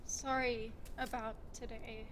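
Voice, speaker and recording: An actress playing a restless, hot-tempered, irreverent woman with a sardonic, sweary manner and a soft side, in an audio drama. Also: a little high, little pitch movement, takes it slowly, in a large hall, slight background noise. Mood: earnest, reflective.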